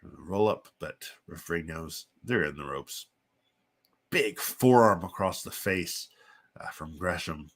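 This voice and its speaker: A man with a congested, sniffly voice from a chest cold, hosting and giving casual, halting play-by-play commentary on simulated wrestling. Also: low-pitched, fairly bright tone, slightly rough. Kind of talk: casual live play-by-play commentary with chatty asides.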